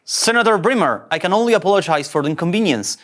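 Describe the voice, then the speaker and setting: An actor playing an insecure, excitable fake starship captain, earnest and grandiose yet easily flustered, at a sci-fi table read. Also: mid-range voice, fast talker, natural voice. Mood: formal, pleading.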